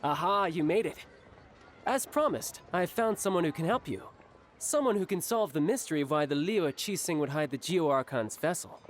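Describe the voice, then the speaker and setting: A man playing a confident, courteous young schemer in a game, smooth-talking and theatrical. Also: fairly high pitch, expressive, normal speed, slight background noise, natural voice. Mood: pleased, inviting. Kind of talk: scripted game dialogue.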